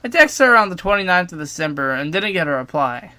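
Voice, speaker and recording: A man's voice: sits high, very animated, brisk, pushed and bright, no echo. A young adult man telling a personal story casually, partly from a script, with informal, self-deprecating asides and some swearing. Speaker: storytime video narrator.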